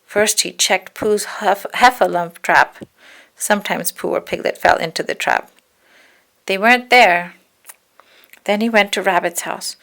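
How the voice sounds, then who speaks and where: A woman reading a children's storybook aloud in an expressive narrating style, now and then correcting a slip. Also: mid-range voice, unhurried, vocal fry, pauses often. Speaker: storybook reader.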